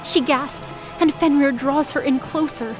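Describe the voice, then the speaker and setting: A woman's voice: high-pitched, steady tone, a little slow, over music, rough recording. A woman narrating a scripted audio drama with intense, vivid storytelling.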